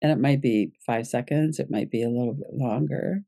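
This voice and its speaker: Very mellow, creaky-voiced woman guiding meditation. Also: a bass voice, no echo, studio quality. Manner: gentle, unhurried instruction with pauses. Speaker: guided meditation teacher. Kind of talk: guided yoga nidra meditation, unscripted instruction.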